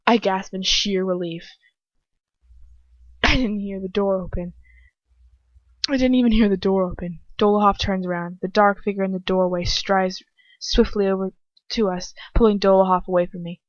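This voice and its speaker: A woman's voice: mid-range voice, fairly even intonation, moderate pace, long pauses, dry recording, lo-fi sound. A woman narrating a first-person story aloud in an informal way, stumbling and slipping into asides. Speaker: amateur fan fiction reader.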